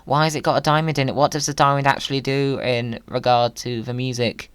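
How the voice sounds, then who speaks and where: A man's voice: medium pitch, little pitch movement, brisk, fairly bright tone, clear, resonant tone, dry recording. A man giving playful gaming commentary in a whimsical, silly manner. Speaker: video creator, gamer.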